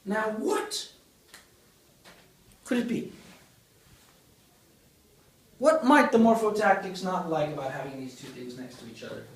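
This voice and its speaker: A man's voice: sits high, measured pace, pauses often. A man giving a university linguistics lecture, explaining step by step and putting questions to the class. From Greece. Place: large lecture room. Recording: echoey lecture hall from far off.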